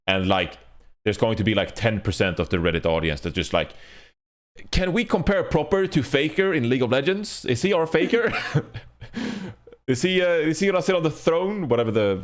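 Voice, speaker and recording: A man hosting a casual esports panel discussion, talking in a blunt, rambling, profane way. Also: deep voice. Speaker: podcast host.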